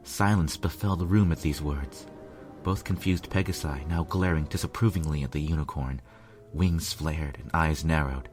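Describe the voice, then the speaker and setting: A man's voice: a bass voice, moderate pace, a little mellow. A man narrating a fan fiction story as a lovelorn pony royal guard, grave and dramatic. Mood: anguished. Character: male pony royal guard telling his lovelorn tale. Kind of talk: scripted first-person story narration. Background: music.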